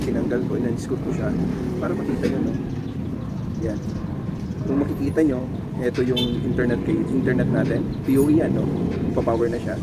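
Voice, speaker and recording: A man's voice: medium pitch, very noisy. A man with a Filipino accent giving a casual, explanatory product tutorial, often checking in with short tag questions. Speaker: tech tutorial presenter. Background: music.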